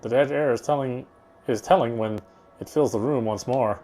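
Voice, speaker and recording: Man, mid-pitched, mellow, game narration read aloud, stumbles and rereads, faint music. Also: normal speed. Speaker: game streamer reading aloud. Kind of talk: reading visual novel narration aloud.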